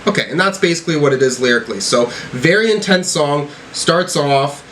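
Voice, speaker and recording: A man's voice: a little high, lively intonation, fairly quick, a bit bright, slight background noise. A man giving casual album reaction commentary, rambling and swearing freely, often tagging on "right?" and "you know". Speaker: music reaction reviewer.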